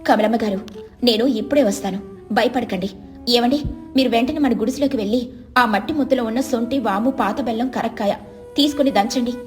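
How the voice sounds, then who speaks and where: A woman's voice: fairly high pitch, brisk, soft background music, natural voice. A woman voicing a kind, humble, devoted village woman in a storybook tale, respectful and reassuring. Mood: concerned.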